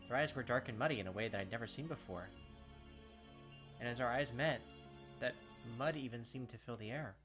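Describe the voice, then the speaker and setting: Male gaming host, mid-range voice, expressive dramatic narration plus casual commentary, music underneath. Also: mid-range voice. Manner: expressive, sweary asides.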